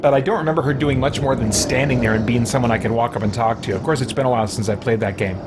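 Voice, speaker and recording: A man's voice: medium pitch, racing, very noisy. A man giving casual, rambling and thoughtful gameplay commentary as a streamer. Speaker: gaming streamer.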